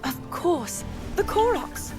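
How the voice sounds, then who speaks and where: High, gentle young princess. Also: female voice, sing-song, takes it slowly, over music, exaggerated voice. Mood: resolute, earnest. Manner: earnest, polite, careful. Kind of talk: dramatic video game cutscene acting.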